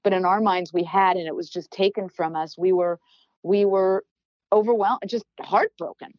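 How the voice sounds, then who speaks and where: Loud, animated middle-aged woman. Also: medium pitch, normal speed, dry recording, highs cut off. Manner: loud, animated, gets worked up. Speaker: podcast guest, former team executive. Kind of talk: storytelling in conversation.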